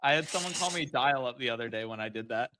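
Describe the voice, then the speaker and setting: A man's voice: medium pitch, animated, fast talker, sharp tone, slight background noise, rough recording. A man in casual, emphatic conversation as a panelist, acting out quoted sales lines as he comments on them. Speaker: podcast panelist.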